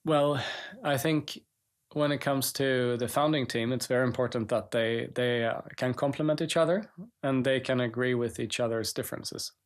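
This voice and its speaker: A man, a startup co-founder, talking in a thoughtful, measured way with frequent pauses and fillers during an interview. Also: medium pitch. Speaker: startup co-founder and CTO guest. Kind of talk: reflective conversational interview.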